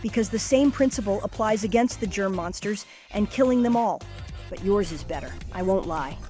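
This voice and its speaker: A woman's voice: medium pitch, expressive, moderate pace, warm tone, close to an everyday voice. A woman voicing a plucky, determined and kindhearted boy hero in a cartoon comic dub. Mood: friendly. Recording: music playing under the voice.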